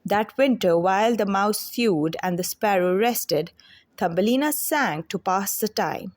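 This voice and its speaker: A woman reading a children's fairy tale aloud as an expressive, deliberate storyteller. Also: mid-range voice.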